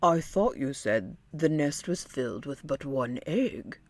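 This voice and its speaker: A woman's voice: very deep voice, lively intonation, a little slow, no room sound, pitched below the performer's own voice, heavily acted. A woman voicing a skeptical, nagging camel with a blunt, doubting manner in a children's storybook.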